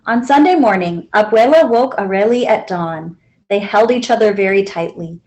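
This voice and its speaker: A woman's voice: mid-range voice, expressive, moderate pace, darkish tone, rough recording. A woman reading a children's picture book aloud as a library storyteller.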